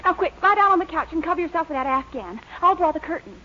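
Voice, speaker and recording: A woman's voice: very high voice, expressive, fast, pushed and bright, exaggerated voice. An actress playing a sweet, insistent, scheming young woman in a 1940s radio sitcom. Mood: coaxing. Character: scheming, coaxing nineteen-year-old girlfriend, sweet and insistent. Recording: muffled old radio with faint hiss.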